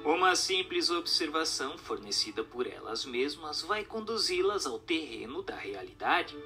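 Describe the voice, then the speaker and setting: A man reading an expository text as a narrator, in a formal, measured, didactic way. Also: a little high, very animated, over music.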